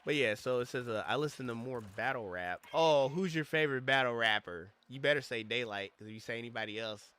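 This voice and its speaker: A young man streaming a game, rambling and swearing as he tells stories in casual chat, his voice a little hoarse from losing it. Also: mid-range voice, brisk, few pauses. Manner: rambling, profane, animated storytelling. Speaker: gaming streamer. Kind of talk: casual livestream chat while gaming.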